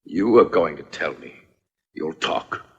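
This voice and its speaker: A man's voice: extremely low pitch, lively intonation, unhurried, vocal fry, husky, dull audio, heavily acted. An actor dubbing a scheming, vengeful nobleman in a period film, theatrical, formal and commanding. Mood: menacing. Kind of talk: dubbed period film acting.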